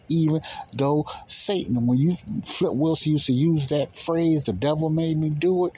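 Man, husky voice, informal preaching, emphatic, repetitive, rhetorical questions. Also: fairly high pitch, a little mellow, rough recording. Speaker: Bible teacher. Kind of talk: informal sermon, Bible teaching.

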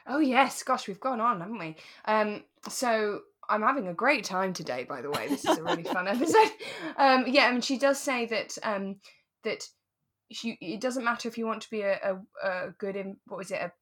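A woman's voice: mid-range voice, lively intonation, moderate pace, fairly bright tone. Chatty British woman in casual conversation. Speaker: podcast co-host. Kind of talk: casual podcast chat.

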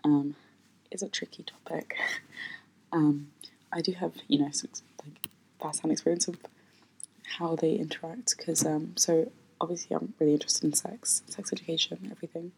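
A woman's voice: deep voice, steady tone, normal speed, gravelly, a little mellow, slight background noise, rough recording. A woman hosting her own podcast in an unscripted, hesitant chat, with lots of ums and light laughs. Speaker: podcast host.

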